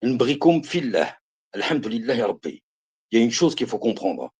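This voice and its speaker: A man in his fifties speaks with a husky voice, and his pitch rises and falls widely. He preaches to his viewers on a live stream, stressing his points and repeating phrases as he calls them brothers and sisters. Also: big pitch swings, moderate pace, darkish tone. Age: 50s. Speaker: live streamer giving religious advice.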